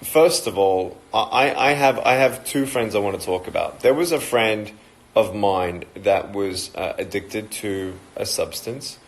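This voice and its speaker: A man's voice: fairly low pitch, measured pace. A man in his thirties with an Australian accent, chatting casually and joking as he greets viewers on a livestream talk. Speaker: motivational speaker.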